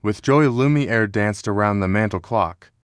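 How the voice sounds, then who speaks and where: A man's voice: fairly low pitch, a little slow, clean tone, no echo, clean studio sound. A man reading scripted narration as the narrator of a children's storybook.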